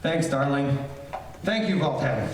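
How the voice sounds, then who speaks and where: A man playing a steady, brave young hero in an old radio serial, reassuring and sincere. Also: medium pitch, measured pace, lightly acted. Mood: earnest resolve. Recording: distant, very echoey hall, clear noise.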